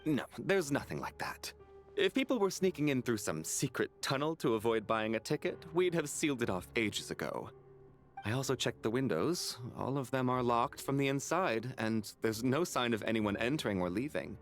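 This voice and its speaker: An actor playing a practical, matter-of-fact theater worker in a video game, reporting with emphasis. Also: male voice, medium pitch, very animated, moderate pace, lightly acted. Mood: assured. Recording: music behind the voice. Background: music.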